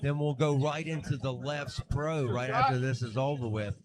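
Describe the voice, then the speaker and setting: A man's voice: mid-range voice, steady tone, normal speed. A man giving casual, folksy live commentary at a tournament, chatting with his co-host as the action goes on. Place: arm wrestling tournament venue. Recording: crowd noise behind.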